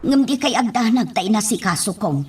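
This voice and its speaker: A woman's voice: medium pitch, expressive, moderate pace, a little mellow, slight background noise, lightly acted. A woman with an Ilocano accent playing a dutiful, reassuring household helper in a radio drama. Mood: dutiful, soothing.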